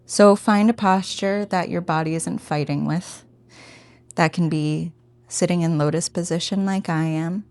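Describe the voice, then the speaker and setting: A woman teaching a guided meditation in a gentle, informal, encouraging way. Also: fairly low pitch, vocal fry, dry recording.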